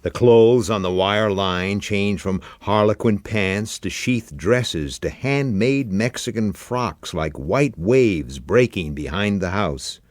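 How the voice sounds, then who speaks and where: A male story reader with a fairly low voice that moves expressively up and down. He narrates literary fiction slowly and deliberately, in a close, dry recording. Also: animated, no echo. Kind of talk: dramatic literary audiobook narration.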